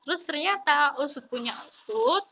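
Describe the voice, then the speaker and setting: A woman's voice: sits high, animated, normal speed, gravelly, background noise. A young woman from East Java with an East Javanese Indonesian accent, chatting animatedly about student life. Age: young adult. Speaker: vlogger, university student. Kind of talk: casual chat.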